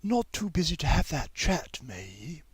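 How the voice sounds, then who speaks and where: A kindly, serious schoolmistress as a male narrator puts her on. The pitch is lifted above a man's usual talk and the manner is soft and measured. The sound is dry. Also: sing-song, husky, no room sound, full character voice. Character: gentle, serious woman teacher.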